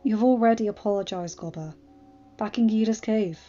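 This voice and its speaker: A woman voicing an earnest young male smith, gentle and eager, in a storybook reading. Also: mid-range voice, normal speed, muffled recording, soft background music, lightly acted. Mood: gentle, forgiving.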